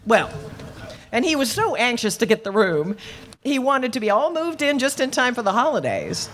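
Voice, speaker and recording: A woman playing a flustered, soft-hearted but stubborn older landlady in a radio play, rambling, hesitant and defensive. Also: a little high, big pitch swings, normal speed. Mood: sympathetic. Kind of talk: live old-time radio play acting.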